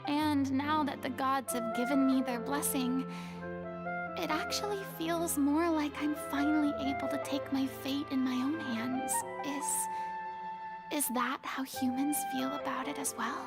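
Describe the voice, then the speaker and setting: Former god, earnest and thoughtful, high voice with some fry. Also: female voice, fairly even intonation, unhurried, loud music behind the voice. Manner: thoughtful, sincere. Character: young woman, former god, earnest and reflective. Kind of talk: video game voice acting.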